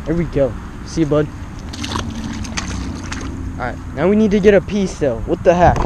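A young man fishing outdoors beside a pond, talking straight into a wearable action camera. His voice sits a little high, and he breaks into excited exclamations whenever a fish bites. A faint hiss runs under the outdoor recording. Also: moderate pace. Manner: excitable, bursts into exclamations on each catch. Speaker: fishing vlogger. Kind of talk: live running commentary while fishing.

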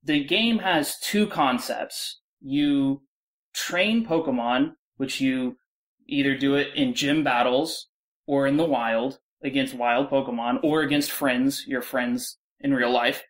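A man's voice: medium pitch, fairly even intonation, unhurried, low-quality recording. A man giving blunt, insistent opinions as a guest in a casual panel discussion.

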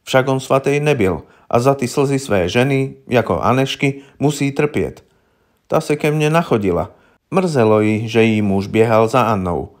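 A man voicing a wary, gruff old village herbalist woman in an audiobook, with blunt, knowing, rustic colloquial speech. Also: mid-range voice, close to an everyday voice. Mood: blunt, confiding. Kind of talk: audiobook character voice.

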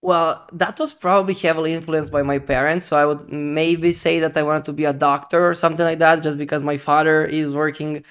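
A young man with a Serbian accent talks quickly and clearly into a close studio mic, his voice a touch high for a man. He chats casually as a podcast guest, stacking fillers and restarts. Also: level tone, a bit bright. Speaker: interview guest. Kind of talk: casual conversation. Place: indoor studio on a sofa. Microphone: handheld dynamic mic on a boom arm.

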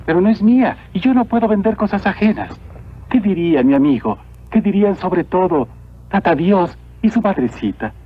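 A man playing a humble, honest young villager in a cartoon, speaking earnestly and politely with gentle deference. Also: sits high, very animated, normal speed, breathy edge, exaggerated voice. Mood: apologetic, earnest. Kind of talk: dubbed cartoon acting. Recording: muffled lo-fi old cartoon soundtrack.

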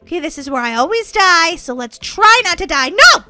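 A woman giving playful, excitable gameplay commentary in a childlike way as host of a gaming video. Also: extremely high pitch, fairly quick, soft background music.

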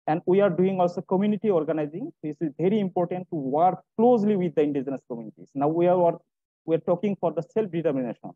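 A middle-aged man speaking haltingly as a panelist in a webinar, in non-native English. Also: on the high side, no room sound. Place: indoor room, on a video call. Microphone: wired earphones on a video call. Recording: tinny, phone-like video-call audio.

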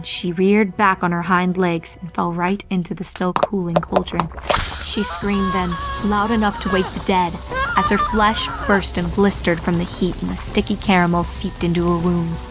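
A woman's voice: fairly low pitch, low-quality recording. A woman reading a horror story aloud as a dramatic scripted narration. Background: music.

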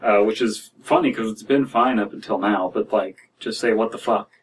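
A man in his thirties reading and reacting to stories as a podcast host, in a halting, wry, casual way. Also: lowish voice, steady tone, far from the mic, a little hiss or hum. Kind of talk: casual commentary and story reading. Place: small dim room indoors.

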